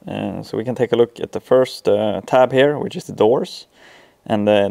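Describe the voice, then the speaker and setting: A man in his thirties with a Swedish accent, giving an informal, halting software walkthrough full of ums. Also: fairly low pitch, vocal fry. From Sweden. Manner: hesitant, halting delivery with frequent ums. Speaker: tutorial presenter.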